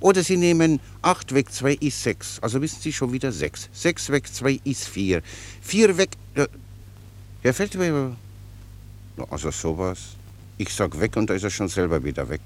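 An actor in a German radio play, playing a cheerful, long-winded common soldier who explains things pedantically with naive obedience. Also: male voice, medium pitch, sing-song, moderate pace, lightly acted. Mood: cheerfully persistent. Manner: chatty, rambling, pedantically explanatory.

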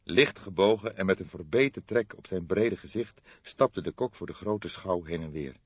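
A man's voice: low voice, lively intonation, moderate pace, breathy edge, no room sound, lo-fi sound. A man reading a crime novel as scripted audiobook narration.

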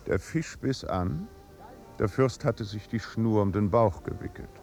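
An actor playing a dry, placid, world-weary duke in a 1950s German radio drama, measured, courtly and deadpan. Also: male voice, fairly low pitch, soft background music.

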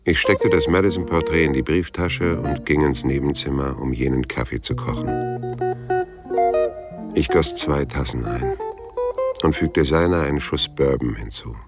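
An actor playing a laconic, world-weary private detective, narrating his case in a deadpan, sober way in a noir radio play. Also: a bass voice, moderate pace. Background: guitar music.

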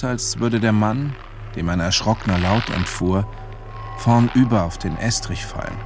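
A male actor delivers grave literary narration for a wartime radio play. His voice is fairly low and measured, with clear music playing behind it. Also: close to an everyday voice. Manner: measured, solemn.

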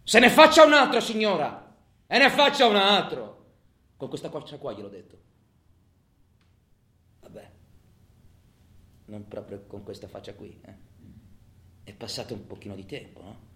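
An actor playing a bitter, cynical prisoner in a colloquial radio theatre monologue, muttering to himself and breaking into loud outbursts. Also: male voice, on the high side, moderate pace, pauses often. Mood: angry, defiant. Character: cynical, bitter male convict musing in his cell. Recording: roomy, echoing sound.

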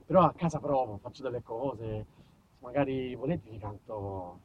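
Man putting on giggly, naive young Vanessa, hushed. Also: medium pitch, normal speed, lo-fi sound, slight background noise, voice pushed down, lightly acted. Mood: dreamy, shy. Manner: hesitant, rambling, breathy giggles between phrases.

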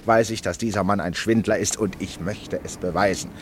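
An actor playing an eccentric, stubborn retired gentleman in a German radio play, musing and drily ironic. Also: deep voice, steady tone, normal speed, a little hiss or hum, lightly acted. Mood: confessing, determined.

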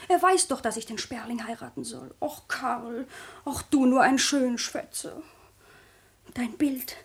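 An actress playing a lovesick, impatient young granddaughter in a theatrical radio comedy, with sighing, exclamatory delivery. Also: female voice, sits high, husky, exaggerated voice. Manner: exclamatory, sighing, speaks old-fashioned stage German.

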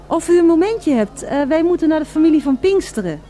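A woman's voice: high-pitched, lively intonation, a little fast, dark tone, soft background music. An actress playing an eager, polite female radio reporter in a radio drama, with formal, florid and emphatic delivery. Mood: polite, flustered.

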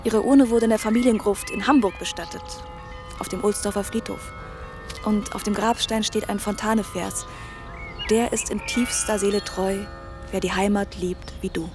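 An actress playing an earnest, expressive young woman in naturalistic radio drama dialogue. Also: medium pitch, moderate pace, lightly acted. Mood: solemn, tender. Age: young adult. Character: young woman in love, earnest, devoted to books. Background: music.

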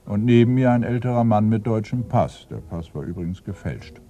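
A deep, gravelly, brooding police inspector. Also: male voice, animated, a little fast, mellow, soft background music. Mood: sombre, reporting. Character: brooding, irritable senior male police inspector. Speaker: actor in a radio crime drama. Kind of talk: German radio play acting.

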